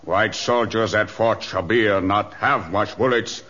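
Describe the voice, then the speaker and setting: A proud, scheming chief in an old radio adventure. The voice is low, forceful and slow, declaiming in broken English through a dull, lo-fi recording. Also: male voice, steady tone. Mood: fierce, commanding.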